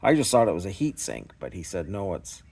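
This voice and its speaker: Low-voiced Canadian man rambling casually. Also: very animated, brisk, creaky, darkish tone, slightly rough. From Canada, small-town Ontario. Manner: rambling, hesitant, self-effacing. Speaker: scrap recycling vlogger. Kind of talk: casual unscripted vlog talk.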